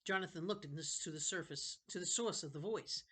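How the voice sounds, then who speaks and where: A woman narrating a children's novel aloud, unhurried, sometimes going back over a phrase. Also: on the low side, fairly bright tone, breathy edge. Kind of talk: storybook narration read aloud.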